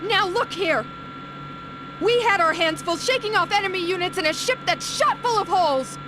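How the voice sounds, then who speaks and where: A woman playing a brisk, exasperated squad officer in a video game, urgent and commanding. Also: very high voice, lively intonation, background noise. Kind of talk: video game cutscene acting.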